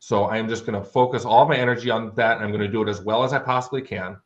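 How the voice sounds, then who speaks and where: A man's voice: fairly low pitch, fairly even intonation, rapid-fire. A man chatting casually as an interview guest, telling anecdotes in a conversational way. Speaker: guest author and audio drama producer. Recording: dull, lo-fi audio.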